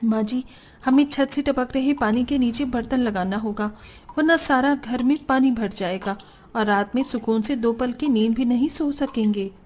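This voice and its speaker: A woman's voice: fairly high pitch, normal speed, darkish tone, a little hiss or hum, close to an everyday voice. A woman voicing a poor, anxious, plaintive youngster in a Hindi cartoon story. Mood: worried. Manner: pleading, plaintive.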